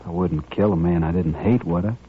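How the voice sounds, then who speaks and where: An actor playing a quiet, courteous, resigned man bent on killing in a 1950s radio western. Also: low-pitched, a little fast, husky. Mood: grim resolve. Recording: dull vintage 1950s radio recording.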